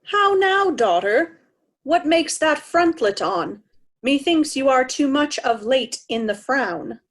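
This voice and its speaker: A woman's voice: sits high, lively intonation, slow. A woman playing a proud, indignant old king in a Shakespearean reading, stately and commanding.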